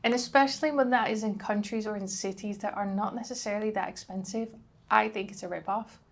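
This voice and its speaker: A woman's voice: mid-range voice, fairly even intonation, fairly quick, vocal fry. A young woman from the Netherlands with a Dutch accent, chatting casually to camera as a travel vlogger, with frequent ums and likes.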